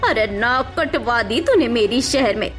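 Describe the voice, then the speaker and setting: A woman's voice: a little high, expressive, a little slow, a bit bright, soft background music. Woman acting a scornful, scheming mother-in-law. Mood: outraged, scornful. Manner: melodramatic, scolding, conniving.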